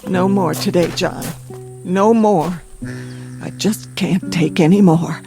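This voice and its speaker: A woman acting a grieving, weary mother in a radio drama, halting and sorrowful but resolute. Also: fairly low pitch, steady tone, creaky, over music.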